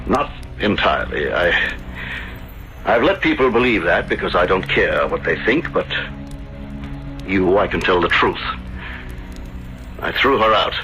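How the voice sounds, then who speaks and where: An actor playing a middle-aged, courtly, scheming gentleman in a theatrical 1970s radio drama, hesitating for effect. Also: sits low, expressive, a little slow, pauses often, exaggerated voice. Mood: cool, guarded. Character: smooth, scheming middle-aged Italian gentleman hiding a secret. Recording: dull vintage radio broadcast, music behind.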